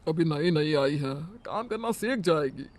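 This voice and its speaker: A man voicing a patient older father-in-law, soothing and gentle. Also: on the high side, animated, unhurried, no room sound. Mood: calm.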